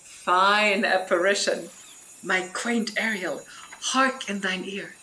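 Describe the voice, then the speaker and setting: A woman's voice: mid-range voice, slow, pushed and bright, slight background noise, poor audio. An actress playing a stern, imperious sorceress and mother in a Shakespearean audio drama, with declamatory delivery. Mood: gentle.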